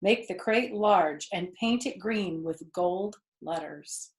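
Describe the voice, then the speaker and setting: A woman voicing a proud, excitable farm owner who gives emphatic orders in a storybook. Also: lowish voice, takes it slowly, reverberant. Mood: firm, proud.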